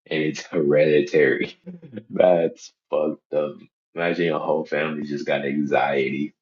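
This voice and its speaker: Young male reaction host, very low voice, unhurried slangy delivery, joking and profane, slightly dull audio. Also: level tone. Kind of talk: casual unscripted reaction commentary.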